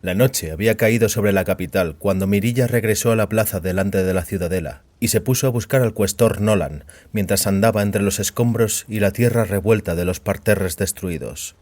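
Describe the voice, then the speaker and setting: A man's voice: lowish voice, moderate pace, few pauses, a little mellow. A man narrating scripted audio fiction as a storyteller.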